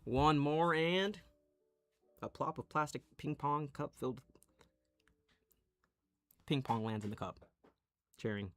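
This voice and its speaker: A man's voice: on the high side, slow, pauses often, fairly bright tone, faint music behind. A man streamer reading stage directions from an audio roleplay script.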